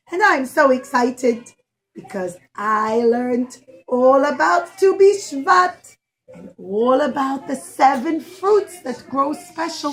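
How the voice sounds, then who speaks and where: A woman voicing an excited, caring young girl in a puppet show, speaking earnestly to small children. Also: sits high, rough recording.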